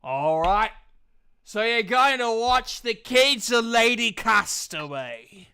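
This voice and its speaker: A man narrating a tabletop fantasy game as game master, with halting phrasing. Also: extremely high pitch, lively intonation, creaky, pushed and bright, clear, resonant tone.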